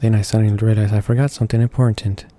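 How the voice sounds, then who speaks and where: A man's voice: lowish voice, fairly even intonation, rapid-fire, mellow. A man reading visual novel text aloud as a let's player, stumbling over words now and then. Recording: game music behind the voice. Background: video game music.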